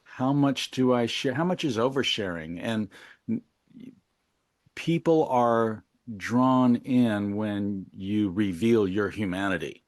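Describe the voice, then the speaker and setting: Male interview guest, lower-pitched, dry close sound, candid and reflective, conversational delivery. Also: slow pace, no room sound. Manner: reflective, candid, thinking aloud. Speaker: interview guest, musician and memoir author. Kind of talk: casual, reflective interview talk.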